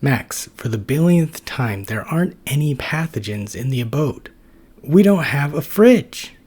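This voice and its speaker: A man's voice: mid-range voice, lively intonation, unhurried, darkish tone, slightly rough, voice pushed up. A man voicing a tired, exasperated male helper T cell who keeps explaining things to his roommate. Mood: friendly.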